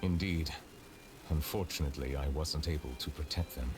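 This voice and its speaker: An actor playing a solemn, reserved ancient chief justice in a game, speaking formally and gravely. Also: male voice, very deep voice, moderate pace, background noise. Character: ancient dragon judge in human form, solemn and reserved.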